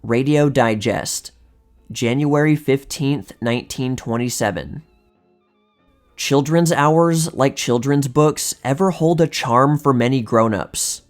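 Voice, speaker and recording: Man narrating over music, measured and precise. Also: medium pitch. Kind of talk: scripted narration, reading vintage magazine articles aloud.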